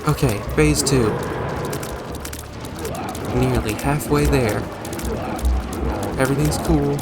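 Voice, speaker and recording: A man's voice: medium pitch, slow, over loud music. A man hosting a gaming video with casual, joking, self-deprecating commentary and some mild swearing.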